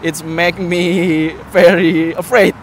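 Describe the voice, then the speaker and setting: A man from Indonesia with an Indonesian accent, answering casually in halting English and often repeating words. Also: high-pitched, little pitch movement. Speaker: interviewee. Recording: clear outdoor traffic noise.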